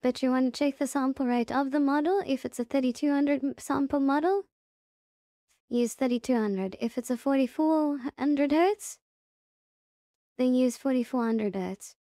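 High, mellow woman giving a tutorial. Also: dry recording, clean studio sound. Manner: unhurried, step-by-step, thinking aloud. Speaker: tutorial presenter. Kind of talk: casual software tutorial narration.

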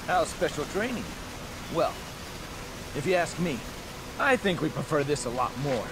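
A man's voice: on the high side, big pitch swings, moderate pace, noisy background. A man playing a breezy, confident martial-arts fighter in a video game. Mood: easygoing, confident. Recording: loud music over dialogue.

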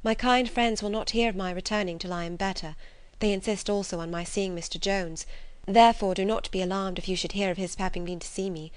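A woman voicing a gentle, modest young lady in a classic novel audiobook, composed and soft-spoken. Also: mid-range voice, fairly even intonation, brisk, dry recording, lightly acted.

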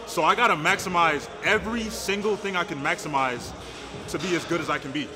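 A twenty-five-year-old man and powerlifter chatting casually in a gym between lifts, loud and excited. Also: on the high side, fairly quick. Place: large indoor gym. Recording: music playing behind. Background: gym music.

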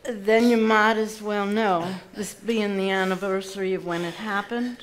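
A woman's voice: medium pitch, steady tone, unhurried, natural voice, poor audio. A woman playing a stern, bossy housekeeper in a stage play, with a folksy Southern US accent and an emphatic manner. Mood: ominous warning. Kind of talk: live stage play acting.

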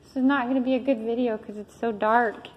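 A woman with a US Midwest Ohio accent, exploring an abandoned school and talking casually, cautious and a bit hushed about being seen. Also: on the high side, steady tone, brisk, lo-fi sound. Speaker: urban explorer filming. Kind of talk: casual chat while exploring. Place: dark abandoned school building, indoors.

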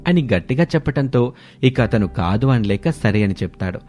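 A man's voice: medium pitch, animated, normal speed, darkish tone. A man narrating a scripted family moral story as a storyteller. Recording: background music under voice. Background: music.

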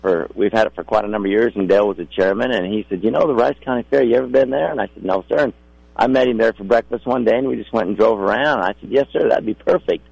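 Middle-aged male rodeo man for radio interview. Gravelly, husky, courteous and folksy. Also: lowish voice, quick pace, a little mellow, no echo, lo-fi sound. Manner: polite, folksy. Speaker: rodeo contractor guest.

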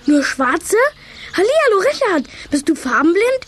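A woman's voice: very high voice, big pitch swings, moderate pace. A woman playing a small, playful, naive boy sprite in a children's audio drama, childlike and cheeky. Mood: cheeky delight.

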